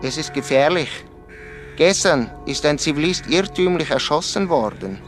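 An actor playing a young village footballer, earnestly and matter-of-factly passing on local news in a radio drama dialogue. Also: male voice, fairly high pitch, animated, normal speed, soft background music, lightly acted.

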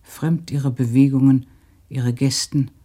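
A man with a mid-pitched, very dark and soft-edged voice reads literary radio narration. His delivery is sober and precise. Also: little pitch movement, a little slow.

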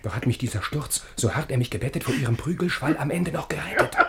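Casting: young lover in classic verse radio comedy, naive and effusive, very animated mid-pitched voice. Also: male voice, fast, gravelly, very noisy. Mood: excited, relieved. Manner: effusive, breathless storytelling, dropping to confiding whispers. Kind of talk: theatrical German radio play in rhymed verse.

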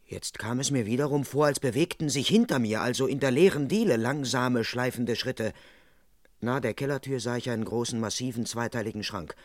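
An actor playing an indignant, self-important police constable in an old German radio crime play, earnest and dryly comic. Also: male voice, mid-range voice, a little fast, no room sound, clean studio sound, lightly acted. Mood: tense, earnest narrating.

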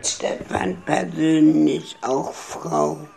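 An actress playing a childlike, dreamy care-home resident in a radio drama, talking in halting, muttered phrases over a noisy room. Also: female voice, low voice, vocal fry, warm tone, heavily acted. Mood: earnest, muttering.

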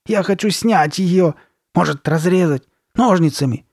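A man's voice: high-pitched, animated, unhurried, darkish tone, no echo, clean studio sound, voice pushed up. A man voicing a panicking, hot-tempered girl in a horror storybook, wailing and exclaiming desperately. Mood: distressed, frantic. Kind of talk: dramatised horror storybook reading.

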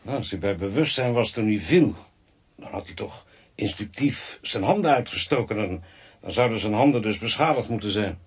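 An actor playing a grumbling, sardonic doctor in a radio drama, with a husky voice and a dry manner. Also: male voice, lowish voice, lively intonation, moderate pace. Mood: dry, businesslike. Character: grumbling sardonic male doctor examining bodies.

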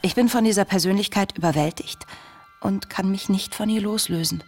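An actress playing an anguished, intelligent young woman diarist, speaking in a measured, introspective literary monologue for a radio play. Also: mid-range voice, darkish tone, a little hiss or hum, natural voice. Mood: fascinated, observing.

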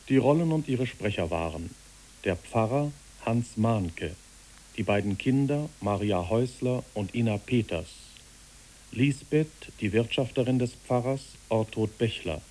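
A man reading out radio play credits as a formal, measured announcer in a 1960s broadcast style. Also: medium pitch, warm tone, rough recording.

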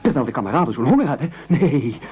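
A man's voice: medium pitch, very animated, fast talker, breathy edge. An actor playing a meek, eager man who nobly offers himself up as a sacrifice, stammering and speechifying self-importantly in an absurdist radio play. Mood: noble, elated. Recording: muffled old radio broadcast recording.

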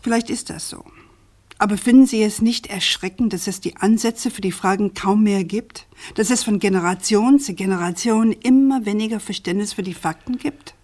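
An actress plays an earnest woman journalist in a radio crime drama. She has a medium-pitched voice and argues deliberately, articulate and persistent. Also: darkish tone, close to an everyday voice. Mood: earnest, urgent.

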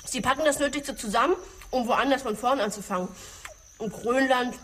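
An earnest, higher-voiced woman. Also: normal speed, a bit bright. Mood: grave. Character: female refugee, matter-of-fact former police technician. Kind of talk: German radio drama acting. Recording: faint background ambience noise.

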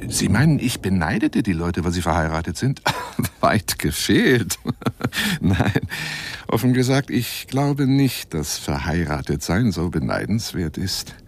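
An actor playing a lonely, boastful bachelor big-game hunter on the phone in a radio drama monologue, courtly, theatrical and insistent. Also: male voice, low voice, sing-song, unhurried, a little hiss or hum, heavily acted. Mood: wistful, defensive.

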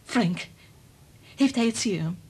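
An anxious but firm mom in a dutch radio drama, really breathy husky voice, medium pitch, earnest. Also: female voice, expressive, takes it slowly, darkish tone, full character voice. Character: worried scientist mother, cautious but resolute. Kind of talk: Dutch radio play acting.

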